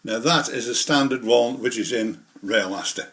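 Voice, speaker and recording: A man's voice: mid-range voice, moderate pace, fairly bright tone. A man presenting an informal hobby tutorial, explaining things step by step in a rambling, hands-on way. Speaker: model railway hobbyist presenter.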